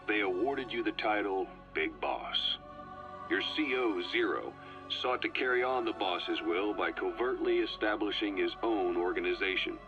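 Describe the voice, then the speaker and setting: An actor playing a sly, composed Soviet spy in a video game, speaking in a low husky voice with measured dramatic pauses on a cassette recording. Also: male voice. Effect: cassette tape filter. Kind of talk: scripted dramatic game dialogue, recounting history. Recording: dull, boomy cassette tape playback.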